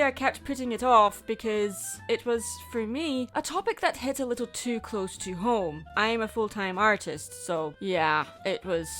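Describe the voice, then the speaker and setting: A woman's voice: mid-range voice, fairly bright tone. A woman hosting a scripted video review with playful, theatrical banter. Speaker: host, full-time artist.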